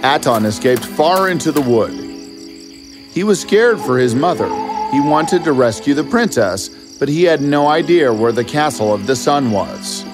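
A man's voice: mid-range voice, expressive, normal speed. A man reading scripted fairy tale narration as the storyteller. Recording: music behind the voice.

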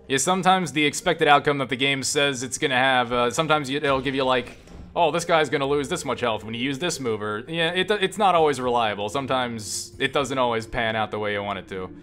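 A man giving casual, unscripted video game commentary, enthusiastic and sweary, often halting and repeating words as he rambles. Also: medium pitch, fairly bright tone, faint music behind. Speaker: video game reviewer and player.

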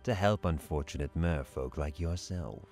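A man's voice: very deep voice, moderate pace, a little mellow, faint music behind. A man playing a sly, dangerously alluring sea sorcerer in an audio drama, silky, theatrical and mock-sweet. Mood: theatrical, mock-sympathetic. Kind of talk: audio drama villain acting.

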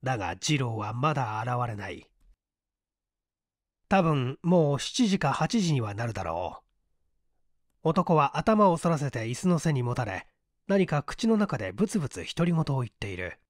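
A man reading mystery fiction as an audiobook narrator, deliberate and theatrical, with pauses. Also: mid-range voice, sing-song, dry recording, clean studio sound.

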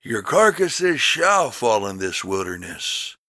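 A man reading scripture as a narrator in a solemn, dramatic style, with measured, emphatic, declamatory delivery. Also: fairly low pitch, big pitch swings, bright, forceful tone, no room sound, clean studio sound. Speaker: Bible narrator.

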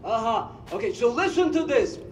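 An actor playing a gentle, eccentric scientist in a game cutscene, explaining things carefully and with enthusiasm. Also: male voice, very high-pitched, very animated, moderate pace, reverberant, distant mic, slight background noise. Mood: earnest, explaining. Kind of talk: dramatic game cutscene acting.

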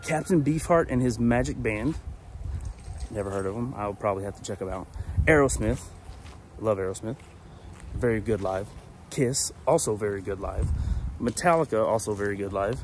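A man's voice: lowish voice, moderate pace, pauses often, slight background noise. A man, chatting casually to a phone in his backyard, rambling and correcting himself as he goes. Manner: rambling, self-correcting, easygoing. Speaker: vlogger. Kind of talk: casual walk-and-talk monologue. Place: backyard outdoors. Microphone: phone held at arm's length.

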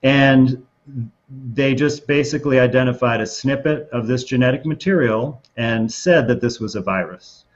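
A middle-aged man answers as an expert guest. His pitch is average and his delivery measured and methodical. The sound is a little dull, as on a video call. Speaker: interview guest, doctor. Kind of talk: explanatory interview answer.

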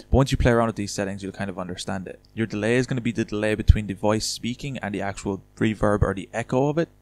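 A man with a fairly low voice talks quickly through a casual software tutorial. He explains each step informally and chattily, as a voice-over host.

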